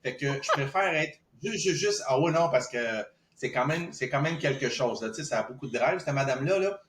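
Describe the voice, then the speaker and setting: A man's voice: fairly high pitch, animated, a little fast, background noise. A man with a Québécois accent co-hosting a casual podcast, joking and playful, with repeated words. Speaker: podcast co-host. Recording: roomy echo.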